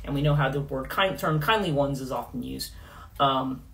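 Woman, middle-aged, hoarse, rambling with fillers and restarts, gesturing host, living room, camera across a table. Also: very deep voice, moderate pace, a bit bright. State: voice going hoarse. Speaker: podcast host. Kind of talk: casual livestream talk on myth.

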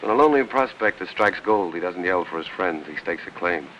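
A man's voice: sits low, lively intonation, a little fast, a bit bright, highs cut off, a little hiss or hum. An American actor in his thirties playing a cocky, flirtatious, wisecracking military pilot in a 1950s Hollywood film. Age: 30s. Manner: wisecracking, smooth-talking.

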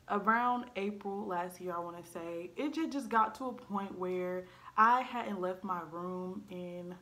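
A woman's voice: medium pitch, fairly bright tone. A woman around twenty, speaking candidly to camera from her living room, earnest and blunt, with frequent ums and likes. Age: 20s. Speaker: vlogger. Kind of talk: candid personal storytelling to camera. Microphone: camera in front at desk height.

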